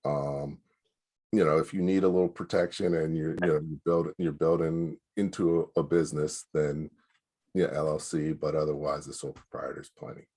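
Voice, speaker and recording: A man's voice: very deep voice, darkish tone, breathy edge. A man giving loose, practical business advice in casual talk, with plenty of fillers. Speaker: speaker advising small business owners.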